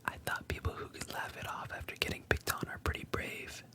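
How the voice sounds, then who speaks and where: A whispering narrator voicing a gentle young woman who runs a bar, speaking in a soft hush. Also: fairly quick, close to an everyday voice. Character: kind young woman barkeeper.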